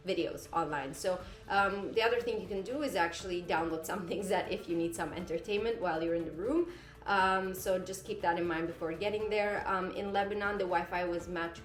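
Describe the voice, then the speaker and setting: Woman, from Syria, travel vlogger, casual tips to camera, chatty with fillers, roomy echo, faint music behind. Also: fairly low pitch. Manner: chatty, with fillers and self-corrections. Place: indoors in front of a green screen.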